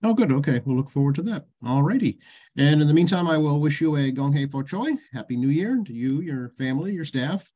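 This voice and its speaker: A man's voice: fairly high pitch, lively intonation, brisk, mellow. A man hosting a local talk show, interviewing a guest with brief affirming replies.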